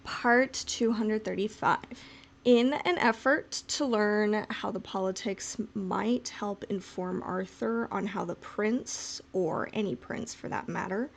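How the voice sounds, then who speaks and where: A woman's voice: mid-range voice, vocal fry. A woman co-hosting a podcast, talking casually and reading out a written recap. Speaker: podcast co-host.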